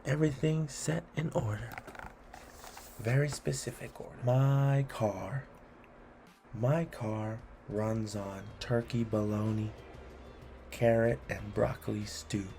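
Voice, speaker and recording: A man reading children's rhymes aloud expressively and deliberately, adding encouraging asides to the listener. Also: medium pitch, fairly even intonation, creaky, mellow, a little hiss or hum. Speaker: read-along host.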